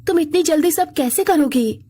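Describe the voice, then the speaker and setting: The well-off mistress of a house voiced by a woman narrator in a cartoon moral tale. She has a high voice and sounds fretful yet kindly. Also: expressive, moderate pace, dark tone. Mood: worried. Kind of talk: cartoon moral story acting.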